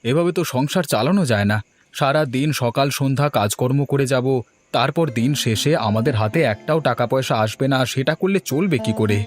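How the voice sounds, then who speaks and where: A man's voice: mid-range voice, animated, brisk, lightly acted. A man voicing a weary, downtrodden village labourer in a cartoon, fretful and lamenting. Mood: worried. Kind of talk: cartoon dialogue.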